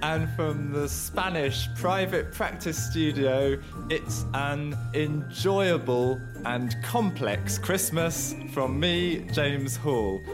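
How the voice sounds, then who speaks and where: A man's voice: fairly high pitch, slow, music in the background. A man co-hosting a podcast in casual chat, speaking with a cold and sore throat, teasing dryly and chuckling often. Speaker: podcast co-host.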